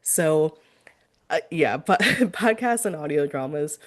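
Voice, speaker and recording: Woman host, low-ish voice, easygoing thoughtful interviewer, ums between ideas. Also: expressive, slow pace. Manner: agreeable, thoughtful, with filler ums. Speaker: podcast interviewer. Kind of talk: casual interview chat.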